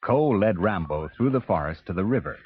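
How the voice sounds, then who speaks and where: A man's voice: low-pitched, expressive, a little fast, a little hiss or hum. A man narrating a scripted children's adventure story as a read-along. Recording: dull vintage cassette.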